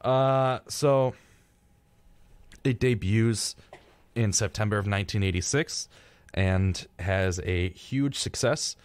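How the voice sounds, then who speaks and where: A young American man co-hosting a radio show, chatting casually and reading notes with flippant, dark humour. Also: on the low side, slow, pauses often, smooth, no room sound. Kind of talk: casual joking chat mixed with reading notes. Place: radio studio.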